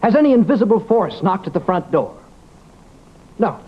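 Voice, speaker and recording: An actor playing a confident, gallant American doctor who debunks the supernatural, in old-time drama style. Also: male voice, high voice, sing-song, moderate pace, husky, full character voice. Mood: triumphant, challenging. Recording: muffled, noisy vintage broadcast recording.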